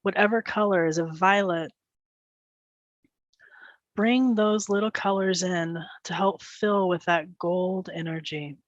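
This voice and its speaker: A woman leading a guided meditation, speaking gently and soothingly in short phrases with pauses between them. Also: fairly low pitch, fairly even intonation, no room sound, lo-fi sound.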